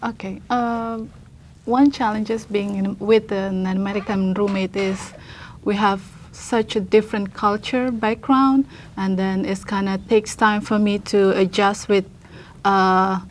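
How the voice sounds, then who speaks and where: A young woman, answering questions at a student press conference. Her English comes in halting bursts, full of small fillers and restarts as she hunts for the right word. Her voice sits at an ordinary pitch for a woman. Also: steady tone, slight background noise. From Indonesia. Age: young adult. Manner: hesitant, many fillers, searches for words. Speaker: international student.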